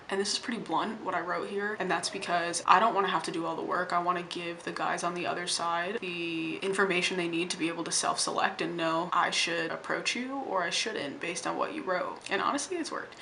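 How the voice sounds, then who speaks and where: A young woman vlogging at home with a deep voice, blunt, wry and profane, often talking in a hushed half-whisper. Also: vocal fry, a little hiss or hum, rough recording. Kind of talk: casual vlog talk to camera. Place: home room.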